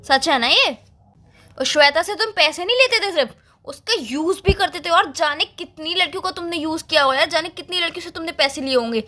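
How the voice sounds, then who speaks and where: An actress as a hurt young woman in a phone-call drama, accusing and scolding. Her voice is very high and sharp, and she presses with pointed questions in casual speech. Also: brisk.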